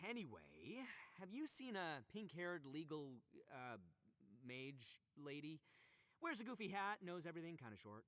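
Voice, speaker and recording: A boastful, goofy young gang boss in an anime game. His bright, forceful voice swings widely as he brags and blusters theatrically, recorded very dry. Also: fairly high pitch, huge pitch swings, measured pace, dry recording, exaggerated voice. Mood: blustering. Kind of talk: anime video game voice acting.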